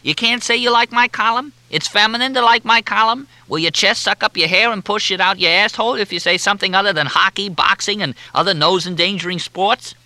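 A man's voice: high voice, fairly even intonation, fairly quick, few pauses, pushed and bright, dry recording, voice pushed up, heavily acted. A man voicing a cantankerous male humor columnist who badgers in crude, repetitive rhetorical questions, as if through a chewed cigar. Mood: exasperated ranting.

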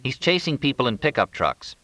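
A man's voice: medium pitch, sing-song, a little fast, bright, forceful tone, no echo, voice pushed up. A man voicing a laconic, practical young man who talks in a terse, offhand way in an audiobook. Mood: matter-of-fact.

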